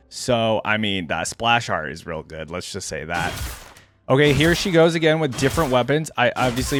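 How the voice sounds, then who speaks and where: Casual man reacting over clear music. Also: fairly low pitch. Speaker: reaction video host. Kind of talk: casual off-the-cuff reaction commentary. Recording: music playing behind the voice.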